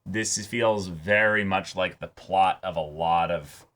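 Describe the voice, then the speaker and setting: A man co-hosting an anime reaction show, discussing in a casual, animated way and often repeating words as he thinks. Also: deep voice, takes it slowly.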